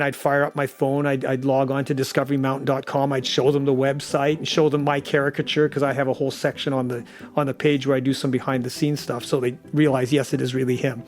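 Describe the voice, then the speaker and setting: A man in a casual interview, telling anecdotes and acting out both sides of conversations. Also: on the high side. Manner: acts out dialogue as he tells stories. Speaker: audio drama director, interviewee.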